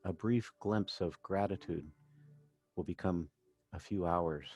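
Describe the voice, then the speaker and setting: A man working as a meditation coach, narrating a guided session in gentle, measured phrases with pauses. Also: low-pitched, husky. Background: background music.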